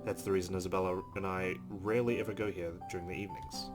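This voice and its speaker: A male let's play host with a fairly low voice. He reads visual novel narration aloud and drops in casual commentary. Game music plays clearly behind him. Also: steady tone, fast talker.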